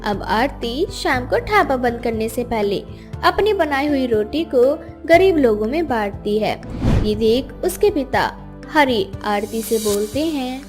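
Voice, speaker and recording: A woman's voice: fairly high pitch, lively intonation, unhurried. A woman narrating a scripted moral story for children. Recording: music behind the voice.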